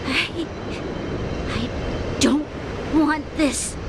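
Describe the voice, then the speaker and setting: A young noblewoman in a game drama, high-pitched and slow to speak. She sounds earnest and anguished, with clear background noise around her. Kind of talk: dramatic video game voice acting.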